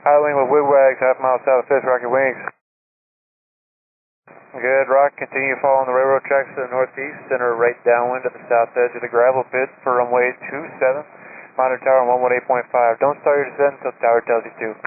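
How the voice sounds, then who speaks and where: A man's voice: medium pitch, level tone, fairly quick. A man working as an air traffic controller, giving clipped, directive instructions over an aviation radio. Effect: aviation radio transmission. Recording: tinny narrowband aviation radio with static. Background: clear radio noise.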